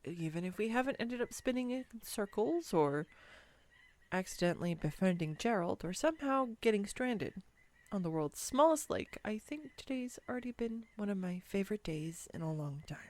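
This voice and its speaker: A woman playing a clumsy, excitable young woman on a first date in a roleplay audio, with a bubbly, hesitant, playfully teasing manner. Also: mid-range voice, normal speed, natural voice. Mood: fond, amused. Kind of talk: scripted girlfriend roleplay audio, intimate one-sided dialogue.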